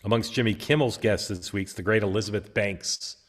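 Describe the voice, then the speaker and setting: A man's voice: on the low side, fairly quick. A man hosting a political talk show in a casual, easygoing way, leading the talk and putting questions to his co-hosts, with frequent filler sounds. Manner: leads the discussion and poses questions to co-hosts.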